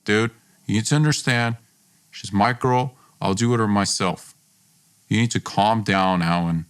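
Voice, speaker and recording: A man's voice: lowish voice, unhurried, smooth, voice pushed down, lightly acted. A man voicing a stern, confrontational young best friend in a told story, blunt and insistent.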